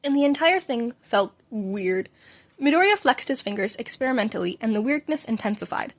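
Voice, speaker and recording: Casting: woman, fairly high voice, informal audiobook-style narration of fan fiction, unpolished with occasional stumbles. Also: expressive, normal speed. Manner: informal, stumbles and rereads lines. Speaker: fan fiction podcast reader. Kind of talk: informal read-aloud story narration.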